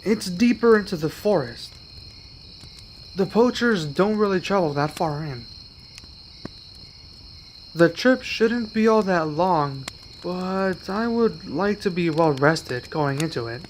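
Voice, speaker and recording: Man voicing an earnest, higher-pitched young werewolf. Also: animated, slow pace, pauses often, a little hiss or hum. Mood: calm, hesitant. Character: young male werewolf, earnest, gentle, protective of his pack. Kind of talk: ASMR roleplay acting.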